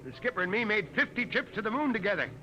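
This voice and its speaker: Eager folksy space veteran, sharp high voice, pleading, old 50s radio sound. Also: male voice, sing-song, quick pace, a little hiss or hum, heavily acted. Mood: pleading, chummy. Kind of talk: 1950s radio acting. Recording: muffled old radio recording.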